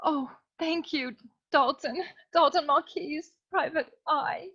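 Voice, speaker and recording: A melodramatic young damsel in distress, played by a woman for a spoof noir radio serial. The voice sits fairly high and speaks with sweet, earnest theatricality. The recording is a little dull and lo-fi. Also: takes it slowly, fairly bright tone. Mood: grateful, swooning. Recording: slightly dull, lo-fi microphone.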